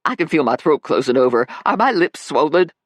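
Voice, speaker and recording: Woman playing a brassy bold sidekick, blunt, low lively voice, dry sound. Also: fairly quick, no echo. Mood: dramatic.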